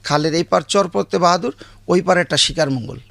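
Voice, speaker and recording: Male guest, 57, Bangladeshi accent, gravelly, slightly high voice, plainspoken personal storytelling. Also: fairly quick, fairly bright tone, no room sound. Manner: plain, colloquial, repetitive. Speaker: guest telling his life story.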